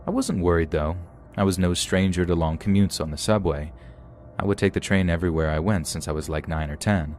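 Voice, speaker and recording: A man narrating a scripted first-person horror story in a steady, even read. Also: sits low, a little fast, faint music behind.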